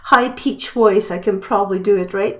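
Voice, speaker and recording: A woman's voice: medium pitch, normal speed. A young woman hosting a live stream quiz, chatting casually as she reads out questions and viewer names. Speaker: live stream trivia host.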